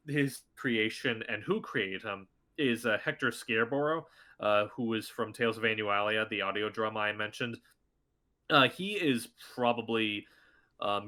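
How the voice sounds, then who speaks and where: Man, guest voice actor, crisp bright tone, casual rambling chat. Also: lowish voice. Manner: chatty, rambling with many restarts. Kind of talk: casual podcast interview.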